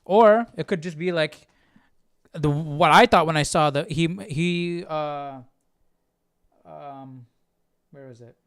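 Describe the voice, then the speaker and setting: A man's voice: on the high side, slow, pauses often, smooth, no echo, studio quality. A man hosting a casual Bible study, reading aloud and explaining in an informal way, stumbling and correcting himself. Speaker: Bible study livestream host.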